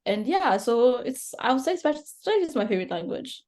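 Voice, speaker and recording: Young rwandan woman guest, earnest, thinks out loud, lots of restarts. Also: fairly high pitch, very animated, a little fast. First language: Kinyarwanda. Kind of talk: casual conversation.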